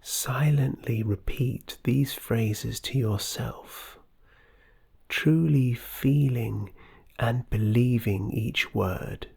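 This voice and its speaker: A low-pitched meditation guide speaks softly and very slowly, leaving room between phrases. The voice is husky and breathy with a creaky edge, soothing and often close to a whisper. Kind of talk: scripted guided meditation narration.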